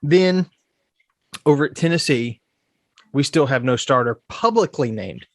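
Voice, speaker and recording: A man's voice: medium pitch, lively intonation, a little slow, creaky, dry recording, studio quality. Slightly congested man hosting a podcast. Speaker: podcast host. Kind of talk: casual sports chat.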